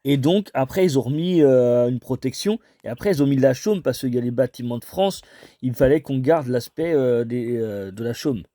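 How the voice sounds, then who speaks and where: A man speaks in a dark, mellow voice with a dry, close sound. He chats casually as if on a call, rambling through explanations and hesitating as he goes. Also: medium pitch, lively intonation, moderate pace, no room sound. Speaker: homeowner taking a cold call. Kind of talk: casual improvised phone conversation.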